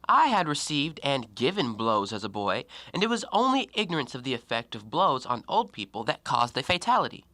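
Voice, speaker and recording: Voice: mid-range voice, big pitch swings, brisk, hardly any pauses, sharp tone, no room sound. A performer in an audio play acting an educated, self-justifying man with formal, articulate stage diction. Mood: coolly self-justifying.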